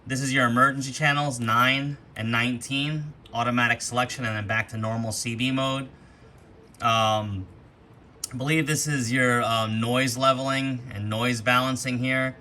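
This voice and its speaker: Bright, forceful man giving a gear walkthrough. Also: medium pitch, steady tone, moderate pace. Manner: explanatory, informal. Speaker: host demonstrating radio gear. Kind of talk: casual hands-on product walkthrough. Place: attic, with rain outside. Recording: background noise, rainy attic ambience.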